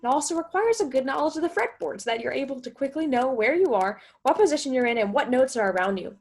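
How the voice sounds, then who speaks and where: A woman's voice: sits high, lively intonation, quick pace, darkish tone, slightly rough. A woman guitar teacher explaining step by step in a chatty, think-aloud way, as in an instructional music lesson.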